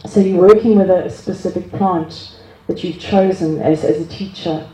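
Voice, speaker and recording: A woman telling a gathering about her experience in a relaxed, self-mocking way. She laughs easily and often restarts her sentences. Her mellow voice carries through an echoey room over a microphone. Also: lowish voice, normal speed, slight background noise. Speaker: group speaker sharing experience. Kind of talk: casual personal sharing at a gathering. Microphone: handheld microphone.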